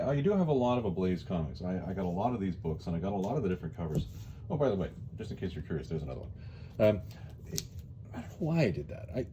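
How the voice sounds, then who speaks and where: A man's voice: lowish voice, dark tone, a little hiss or hum. A man giving a casual, rambling comic book review in a wry, hesitant way, often repeating his words.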